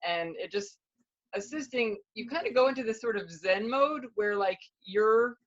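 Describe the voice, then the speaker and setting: A woman's voice: fairly high pitch, lively intonation, normal speed. Enthusiastic woman on a dull-sounding call. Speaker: puppeteer. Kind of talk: casual chat. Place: remote virtual call.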